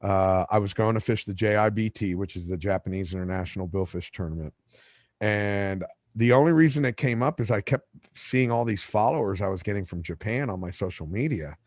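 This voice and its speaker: A man's voice: lowish voice, fairly quick, darkish tone, no echo, studio quality. Man, native Spanish speaker, rambling storyteller. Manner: rambling, self-correcting, with asides. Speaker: podcast host. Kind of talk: casual storytelling.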